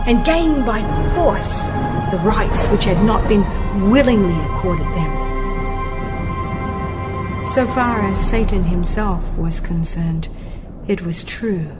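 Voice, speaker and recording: A woman narrating a religious audiobook in a solemn, formal, dramatic scripted delivery. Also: mid-range voice. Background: music under the voice.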